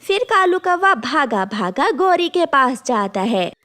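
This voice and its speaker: A woman's voice: high voice, very animated, measured pace, sharp tone. A woman reading scripted narration for a children's cartoon story.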